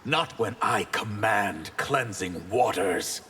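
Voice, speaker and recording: A man voicing a dignified, earnest high mage in a video game, speaking in a measured, formal way. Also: animated, sharp tone, exaggerated voice. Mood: earnest, defensive. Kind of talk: video game fighting character dialogue. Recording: long reverberant tail, faint noise.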